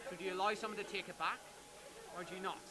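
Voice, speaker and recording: Higher-voiced man over crowd noise. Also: fairly even intonation. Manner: measured, explanatory. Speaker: event organizer. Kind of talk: explaining in casual talk. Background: crowd chatter.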